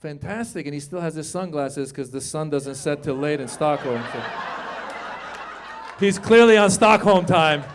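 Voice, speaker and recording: A man in his fifties works a comedy club crowd on a handheld mic. His voice sits high for a man, and he talks loud and animated, swearing freely. A faint hum of club noise hangs under the voice. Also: normal speed. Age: 50s. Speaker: stand-up comedian. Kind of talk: stand-up comedy set with crowd work. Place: comedy club stage before a live audience.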